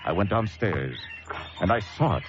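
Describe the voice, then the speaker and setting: A man's voice: lowish voice, animated, normal speed, highs cut off, natural voice. An actor playing a haunted lighthouse keeper, telling his story of horror with theatrical intensity in an old-time suspense radio drama. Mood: dread.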